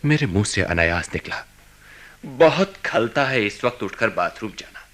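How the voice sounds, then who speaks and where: A man acting a brooding, restless husband in a radio drama, in a measured, introspective and hesitant manner. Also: on the low side, big pitch swings, fairly bright tone. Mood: brooding, weary. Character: brooding, restless, bored husband confiding in his diary.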